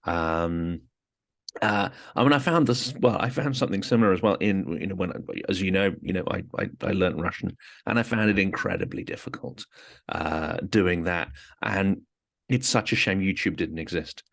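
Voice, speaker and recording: A man hosting an interview in a relaxed, chatty way, often saying "you know". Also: sits low, moderate pace, vocal fry. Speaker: host. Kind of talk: casual interview chat.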